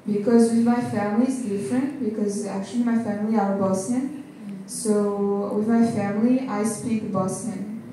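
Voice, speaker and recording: Hesitant French woman, dark echoey sound. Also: mid-range voice, steady tone, normal speed, few pauses. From France. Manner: careful, hesitant, searching for words. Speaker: guest volunteer. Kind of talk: casual interview answers. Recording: roomy echo, distant mic, faint noise.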